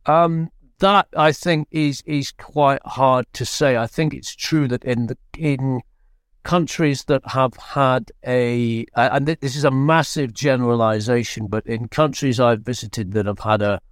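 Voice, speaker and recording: A British man giving unscripted analysis as a correspondent in an interview, speaking in a measured, hesitant way with frequent restarts. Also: mid-range voice, clear, resonant tone, no room sound, clean studio sound. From Britain. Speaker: foreign correspondent, interview guest.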